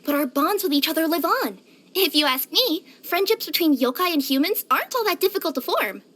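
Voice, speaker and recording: Super high pitched cute cat girl courier for a video game, eager n cheery, really animated. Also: a little fast. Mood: warm, chatty. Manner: expressive, cutesy, with gasps and hesitant murmurs. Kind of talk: scripted video game character voice lines.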